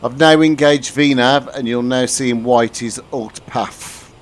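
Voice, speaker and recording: A man's voice: medium pitch, steady tone, unhurried. Chatty British man streaming a flight sim. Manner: chatty, answers viewers, frequent restarts. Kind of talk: live-stream commentary to chat.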